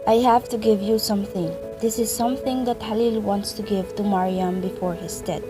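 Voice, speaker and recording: A woman's voice: medium pitch, steady tone, normal speed, over music, close to an everyday voice. A woman voicing a kindly messenger in a student radio play, earnest and explaining. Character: kindly adult messenger delivering a gift. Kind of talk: amateur radio play acting.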